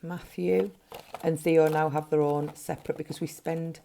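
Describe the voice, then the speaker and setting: Gruff low-voiced woman chatting to camera. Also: steady tone, moderate pace, dark tone, a little hiss or hum. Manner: chatty, counting notes under her breath. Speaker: budgeting vlogger. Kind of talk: casual cash-stuffing vlog narration. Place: home, at a table. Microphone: overhead camera framing hands.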